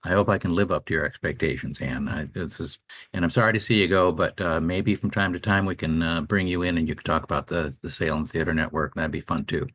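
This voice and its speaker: Low-voiced man on dry radio. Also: fast talker, fairly bright tone, no room sound. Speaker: radio co-host. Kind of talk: casual radio talk.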